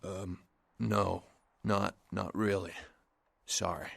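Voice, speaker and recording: An actor playing a nervous, spaced-out shop owner in a video game, rambling in a hesitant stoner drawl. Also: male voice, low voice, steady tone, slow, gravelly, fairly bright tone, dry recording, exaggerated voice. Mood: sheepish, laid-back. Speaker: shopkeeper character in a video game.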